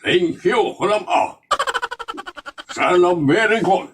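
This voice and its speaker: A man's voice: sits high, animated, slow, background noise. A man playing a reluctant, grumbling fellow in an Ilocano comedy drama, with an Ilocano accent and a hesitant, drawling delivery.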